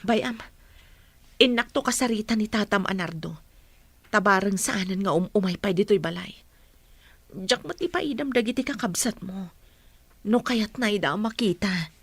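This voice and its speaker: A woman playing a firm, caring mother in a radio drama, expressive in her delivery. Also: mid-range voice, normal speed, long pauses, husky, dry recording. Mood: gentle, reassuring. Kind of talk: Ilocano radio drama acting.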